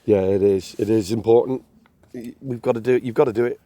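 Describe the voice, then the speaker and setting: An English man vlogging outdoors at a fishing lake, chatty and friendly, his voice still slightly hoarse as it recovers. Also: on the low side, steady tone, fast talker, dark tone, no echo. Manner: chatty, friendly, laughs easily. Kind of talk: casual outdoor chat.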